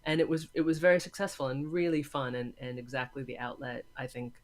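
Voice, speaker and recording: A woman's voice: very deep voice, little pitch movement, a little fast. A woman from California with an American accent, answering conversationally as an interview guest. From California, USA. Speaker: interview guest, film lecturer and voice actor.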